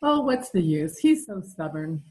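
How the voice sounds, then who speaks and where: A middle-aged woman playing a gentle, fretful wife as the straight woman in an amateur old time radio sitcom. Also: on the low side, big pitch swings, a little slow. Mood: wistful. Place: indoor room at home, video call. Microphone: computer mic on a video call.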